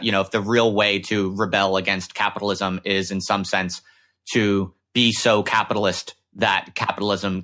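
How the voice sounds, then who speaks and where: A man's voice: lowish voice, bright, forceful tone. A man hosting a conversational interview, earnestly working through long, wordy, reflective questions. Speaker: interviewer, podcast host.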